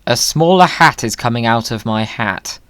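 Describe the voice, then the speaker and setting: A gaming video host chats away in a medium-pitched voice, recorded close and dry. The delivery is playful, whimsical and excitable, like someone happily showing viewers around a game. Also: moderate pace, dry recording.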